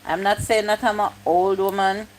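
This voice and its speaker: A woman's voice: mid-range voice, little pitch movement, moderate pace, a little hiss or hum. A woman, chatting casually on a livestream, reading comments aloud and repeating her points. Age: 50s. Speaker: YouTube live streamer.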